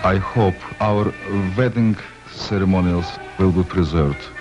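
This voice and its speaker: A man speaking deliberately in an interview, pausing mid-sentence as he recounts his people's history. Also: deep voice. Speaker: community elder interviewee. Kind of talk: slow storytelling interview. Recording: dull old film sound with music.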